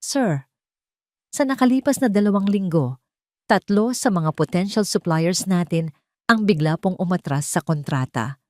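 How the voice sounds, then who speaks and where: A woman's voice: fairly low pitch, lively intonation, measured pace, darkish tone, no room sound, clean studio sound. Woman playing a nervous, deferential female assistant. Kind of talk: audio drama storytelling.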